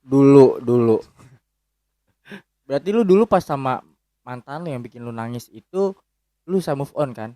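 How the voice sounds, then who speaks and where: A man hosting a casual chat, firing short teasing questions and quick interjections. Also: medium pitch, lively intonation, dark tone, no room sound.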